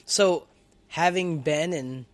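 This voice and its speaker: A man's voice: fairly high pitch, slow pace, dry recording. A man hosting a podcast, asking questions and giving short, encouraging replies. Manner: upbeat and encouraging, short affirmations. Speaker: podcast host.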